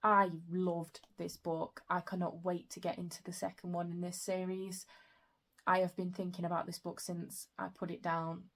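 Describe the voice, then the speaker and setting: A young woman chatting casually about her reading in a book vlog, her throat a bit croaky, with frequent soft fillers. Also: fairly low pitch, level tone, normal speed. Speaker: book vlogger.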